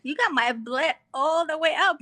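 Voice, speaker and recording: A woman's voice: high-pitched, sharp tone. A woman from Ethiopia, speaking English as an additional language, chatting casually as a caller on a live stream over a video call. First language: not English, which is at best her fourth language. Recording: noisy online call with clicks.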